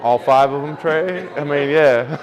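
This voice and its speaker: A man's voice: a little high, a little slow, crowd noise, rough recording. Man, 40s, podcast co-host; laid-back and joking, walks through football plays in detail. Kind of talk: casual sports chat.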